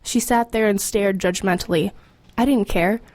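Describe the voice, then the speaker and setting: A woman's voice: mid-range voice, moderate pace, a little mellow, no room sound, natural voice. An actress playing a streetwise teenage girl in a radio drama, confiding and matter-of-fact as she tells her story. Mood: wry, confiding. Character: streetwise, wry, formerly homeless teenage girl. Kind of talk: first-person narration and dialogue in radio drama.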